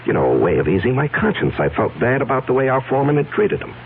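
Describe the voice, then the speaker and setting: A man's voice: medium pitch, big pitch swings, fast talker, vocal fry. An actor playing a nervous, wealthy businessman who stammers and sounds defensive, in a 1970s radio drama. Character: nervous wealthy businessman man hiding a secret. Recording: tinny lo-fi old radio broadcast with faint hiss.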